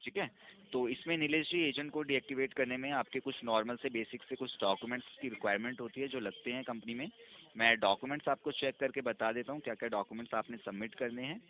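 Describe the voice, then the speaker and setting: Brief: male caller on a tinny phone line, smooth and procedural, mid-pitched. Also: fast talker. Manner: smooth, procedural, persuasive, mixing English terms. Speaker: phone caller posing as insurance agent. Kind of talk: scripted sales phone call.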